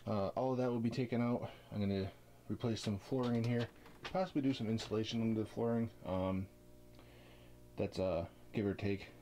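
A man's voice: fairly low pitch, steady tone, fairly quick, mellow, a little hiss or hum. Casual rambling man vlogging. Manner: loose, rambling with many fillers. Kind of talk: casual walkthrough vlog. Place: inside an RV, then outdoors on its roof. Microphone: handheld camera mic.

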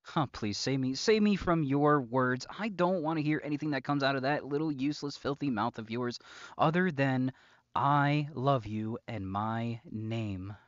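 A man playing a possessive, psychopathic captor lover in an intimate audio roleplay, mockingly sweet and taunting, moving between softness and sharpness. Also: medium pitch, no echo, muffled recording, natural voice. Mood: bitter, threatening. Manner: drawn-out, taunting, swinging between soft whispers and sharp outbursts.

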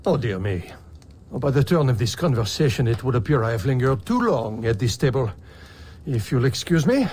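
Genteel old merchant played by an actor, swooping delivery. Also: male voice, mid-range voice, very animated, normal speed, a little mellow, slight background noise, close to an everyday voice. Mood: awkward. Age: older. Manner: courtly, wordy. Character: genteel, fussy, affectionate old merchant father. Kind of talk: video game cutscene acting. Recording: music behind the voice.

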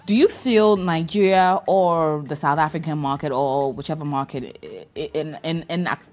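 Low-voiced young woman hosting a TV interview. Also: normal speed. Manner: conversational, supportive interjections. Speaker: TV host. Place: bright TV studio set.

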